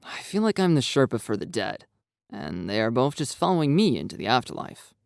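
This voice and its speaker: A man narrating a first-person visual novel with expressive, lively intonation. His voice has a gravelly edge, recorded close and dry. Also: medium pitch, no room sound. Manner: expressive, storytelling delivery.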